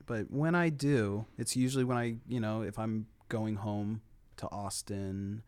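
A man with a lowish, slightly hoarse voice chats in an easygoing way. He muses aloud, trailing off with fillers as he picks his favorites. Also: steady tone, unhurried, darkish tone. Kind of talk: casual chat.

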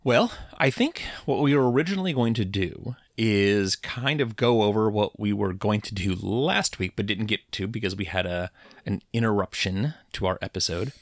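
Laid-back man, low voice, dry sound. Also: no room sound. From Puget Sound, Washington. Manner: relaxed, rambling, halting restarts. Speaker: podcast co-host. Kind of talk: casual chat.

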